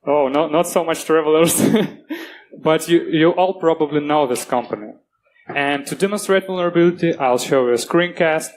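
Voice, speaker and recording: A man with a Russian accent giving a technical security talk on a conference stage, earnest and plain-spoken, with halting restarts. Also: fairly high pitch, rough recording. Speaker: conference speaker.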